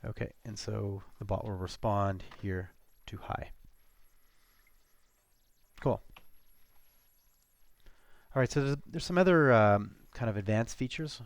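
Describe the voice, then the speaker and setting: A man with a lowish, gravelly voice walks through a software demo in a casual way. He pauses and rephrases as he thinks aloud, and the sound is dry and close. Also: no room sound. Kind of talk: informal screencast product walkthrough.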